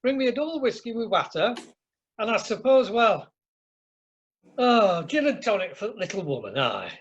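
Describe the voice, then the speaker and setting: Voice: mid-range voice, very animated, moderate pace, husky. An amateur actor playing a blunt, jovial Northern English husband, chummy and blustering, in a radio comedy. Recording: muffled online meeting audio.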